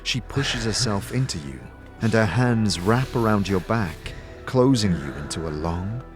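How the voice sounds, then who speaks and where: Male narrator, fantasy audio series. Lower register, measured dramatic storytelling over music. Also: expressive.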